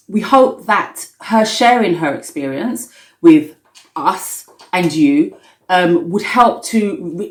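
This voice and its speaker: A woman, a magazine editor, giving a measured spoken introduction with fillers. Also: lowish voice. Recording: echoey room.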